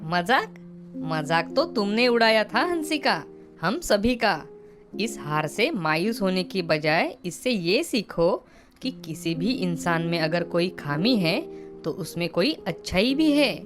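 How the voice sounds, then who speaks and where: A woman voicing a principled, frank schoolboy who admonishes earnestly in an animated moral story. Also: on the low side, slow, over music. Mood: earnest advice. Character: principled schoolboy classmate, frank and level-headed.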